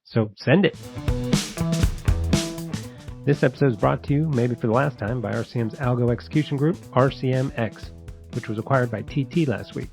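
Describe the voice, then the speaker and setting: A man's voice: mid-range voice, moderate pace, creaky, long pauses, darkish tone, faint music behind. Male podcast host, casual delivery. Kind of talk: casual podcast interview and intro.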